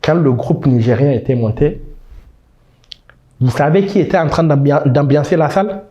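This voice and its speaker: A man's voice: a little high, moderate pace, mellow, lo-fi sound. Fervent man in his thirties. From Niger. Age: 30s. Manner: emphatic, restarts words often. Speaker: guest. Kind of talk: impassioned interview answers.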